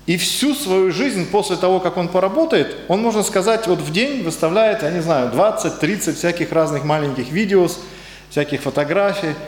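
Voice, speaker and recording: A man's voice: high voice, expressive, normal speed. A man preaching a conversational sermon in speech, hesitant and searching for words, and putting questions to his congregation. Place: church hall. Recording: echoey hall with faint ambience.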